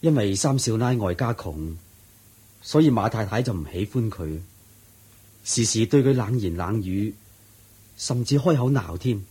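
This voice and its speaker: A man's voice: fairly low pitch, animated, unhurried, pauses often, mellow, husky, exaggerated voice. An actor playing a devoted male disciple in a radio drama, speaking earnestly and respectfully. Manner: earnest, respectful, explaining at length. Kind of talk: 1980s-style radio drama acting.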